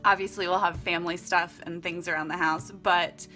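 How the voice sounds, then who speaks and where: A woman's voice: fairly low pitch, fairly even intonation, moderate pace, bright, forceful tone, soft background music. A young woman chatting casually and upbeat as she walks through her journal setup at home, laughing often. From Florida and Costa Rica. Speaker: vlogger. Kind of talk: casual vlog narration while journaling.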